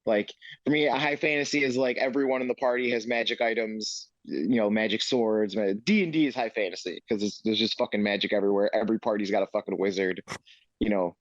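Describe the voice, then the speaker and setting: A man's voice: fairly low pitch, fast, no room sound, dull audio. A man co-hosting a podcast in casual chat, swearing freely and stumbling through restarts. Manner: loose, profane, stammering restarts. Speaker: podcast co-host.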